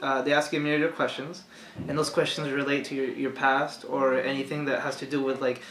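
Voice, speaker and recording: Young man telling his story, halting. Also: medium pitch, flat delivery, fairly bright tone, reverberant, a little hiss or hum, low-quality recording. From Chile. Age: young adult. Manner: halting, many fillers and restarts. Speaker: interview guest.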